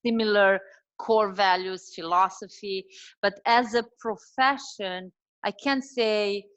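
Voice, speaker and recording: Woman with a Romanian accent, forties, mid-pitched, animated guest chat, many fillers and restarts. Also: takes it slowly, highs cut off. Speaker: dietitian guest. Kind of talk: casual interview chat.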